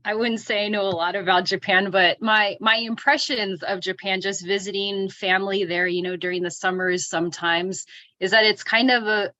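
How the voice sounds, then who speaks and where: A woman's voice: medium pitch, steady tone, moderate pace, sharp tone, no echo, highs cut off. An American-accented woman speaking casually as a pastor on a panel. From California, United States.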